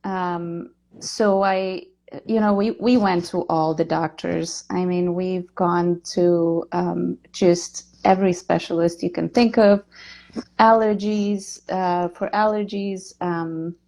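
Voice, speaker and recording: A woman from Poland, a nutritional therapist and nurse, talking in an interview with many pauses and fillers. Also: fairly low pitch, steady tone. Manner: hesitant, many fillers, soft-spoken. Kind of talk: interview chat.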